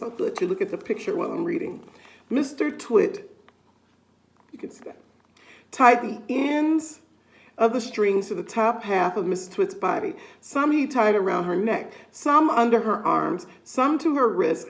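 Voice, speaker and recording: A woman storyteller with a creaky edge to her mid-pitched voice. She reads a children's book expressively and deliberately, leaning on key words. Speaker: read-aloud storyteller. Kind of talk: expressive children's story reading with casual asides.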